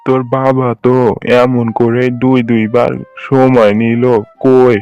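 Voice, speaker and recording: Man playing a stern, flat-voiced village landlord. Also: mid-range voice, slow pace, smooth, close to an everyday voice. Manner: condescending, firm. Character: stern, unyielding male village landlord and moneylender. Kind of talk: Bengali cartoon voice acting. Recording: dull lo-fi sound, music behind.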